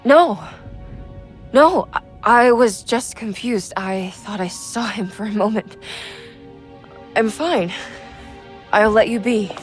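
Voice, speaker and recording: An actress playing a distressed young gentlewoman, frightened and grieving, with halting emotional delivery in a video game drama. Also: mid-range voice, faint music behind, lightly acted. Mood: shaken, defensive.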